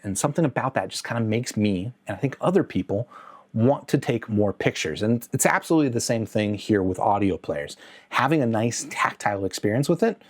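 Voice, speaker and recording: A man presenting a casual video review as host, speaking in a conversational, opinionated and lightly joking way. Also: on the low side. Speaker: host of an audio gear review channel.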